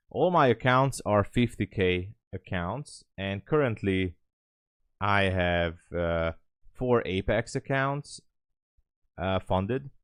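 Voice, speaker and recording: A man's voice: fairly low pitch, a bit bright, clean tone, no room sound, clean studio sound. A man with a Hungarian accent chats casually on a livestream, rambling and thinking aloud. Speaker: live trading streamer.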